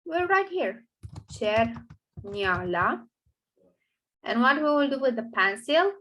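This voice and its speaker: Woman teacher with a Romanian accent, a bit high-voiced, talks slowly and pauses to think while explaining grammar. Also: sing-song, pushed and bright, slight background noise. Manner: halting, explanatory, thinks aloud with fillers. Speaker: language teacher. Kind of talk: online grammar lesson.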